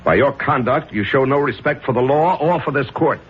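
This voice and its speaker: An actor playing a stern, indignant judge, formal and scolding, in a 1970s radio drama. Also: male voice, medium pitch, fairly quick, husky, close to an everyday voice. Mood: stern rebuke. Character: stern, indignant male judge commanding his courtroom. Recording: muffled 1970s radio recording.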